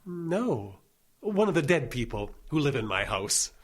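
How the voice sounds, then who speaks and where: Man as older eccentric doctor, wildly animated pitch, husky edge, courtly, whimsical, genial, audio comedy. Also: unhurried. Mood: whimsical, serene. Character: genial eccentric old doctor who takes dictation from ghosts. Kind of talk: audio comedy drama acting.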